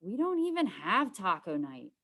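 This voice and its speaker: A woman's voice: medium pitch, wildly swooping pitch, normal speed, sharp tone, full character voice. A woman voicing a naive, talkative young boy, an eager little brother in a children's storybook. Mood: puzzled. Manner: guileless, talkative. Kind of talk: children's storybook read-aloud.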